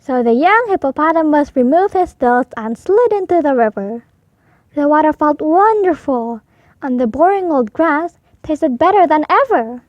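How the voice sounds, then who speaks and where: A woman reading a children's picture book aloud as an expressive storyteller. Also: sits high, moderate pace, no echo, muffled recording.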